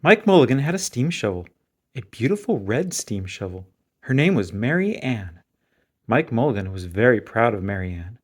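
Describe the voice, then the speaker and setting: Guy reading a kids storybook out loud, medium pitch, really expressive up and down. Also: moderate pace. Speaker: reader of a children's picture book. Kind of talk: storybook read-aloud.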